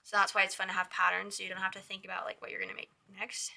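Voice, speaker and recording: A young woman with a hoarse, raspy voice hosts a casual crochet tutorial. She chats with a lot of "like" and counts her stitches in a soft whisper. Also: medium pitch, completely flat delivery, very fast. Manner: chatty, with lots of "like".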